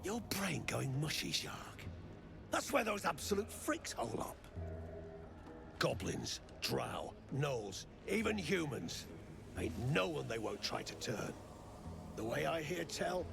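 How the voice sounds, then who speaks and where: An actor playing a coarse, swearing male dwarf mercenary boss with a gravelly, bullish voice in a video game. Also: on the high side, big pitch swings, slow pace, music in the background, full character voice. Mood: scornful.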